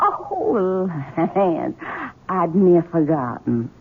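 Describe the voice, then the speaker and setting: An actress playing a scheming, willful young wife, imperious and cutting, in an old-time radio drama. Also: female voice, fairly low pitch, huge pitch swings, drawn-out words, breathy edge, exaggerated voice. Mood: mocking. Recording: tinny narrow old radio broadcast.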